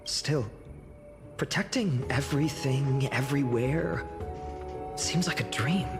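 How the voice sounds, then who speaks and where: A woman's voice: rumbling low, slow, full character voice. A woman voicing a curious, earnest and thoughtful young traveler in scripted game dialogue, with heavy reverb. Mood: curious, wondering. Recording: long hall reverb over music.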